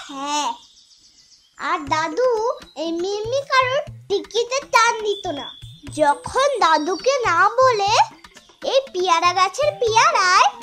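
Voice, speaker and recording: A woman's voice: very high-pitched, full character voice. A woman voicing a clever, bossy little village sister in a children's cartoon, chiding and explaining things. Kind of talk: children's moral-story cartoon acting.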